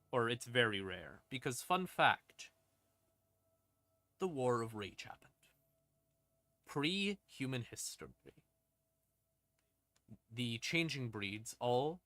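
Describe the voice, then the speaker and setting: Slow-paced man with a bright, forceful voice. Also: medium pitch, no room sound. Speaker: streamer explaining game lore. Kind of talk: informal lore explainer, storytelling to stream viewers. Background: eerie ambient music.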